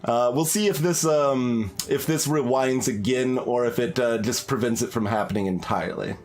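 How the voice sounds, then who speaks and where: A man's voice: medium pitch, normal speed, a little mellow. A man giving casual, rambling playthrough commentary as a video game streamer, musing aloud as he goes.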